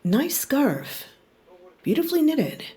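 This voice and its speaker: A curt detective chief inspector, acted by a woman with a mellow, warm tone and a lively lilt. She keeps her questions short and clipped. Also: high-pitched, takes it slowly, heavily acted. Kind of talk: audio drama acting.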